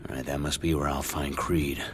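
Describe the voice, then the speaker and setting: A gruff, low-voiced mutant antihero in a game cutscene. He talks in terse, sardonic bursts, like someone tough and unimpressed, with prominent music behind him. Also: little pitch movement, a little fast. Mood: gruff, determined. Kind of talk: video game cutscene acting.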